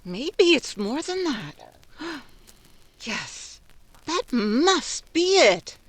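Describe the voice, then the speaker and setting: An actress playing a spiteful, hysterical young sister in a 1940s-style radio drama, melodramatic and taunting. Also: female voice, sits high, big pitch swings, very slow, no room sound, exaggerated voice. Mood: mocking, malicious.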